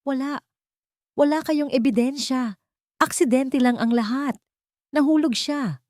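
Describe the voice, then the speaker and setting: Lady doing desperate crook son, defensive, agitated, pitched way up, story acting. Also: measured pace, darkish tone, no room sound. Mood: panicked denial. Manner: stammering, defensive. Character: desperate, cornered, greedy adult son with a gun.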